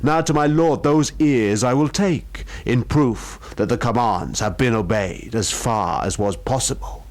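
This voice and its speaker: A man voicing an implacable ghostly warrior servant in a horror story, declaiming slowly. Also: medium pitch, a little mellow, natural voice. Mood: grim, relentless. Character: implacable ghostly warrior servant claiming his grisly prize.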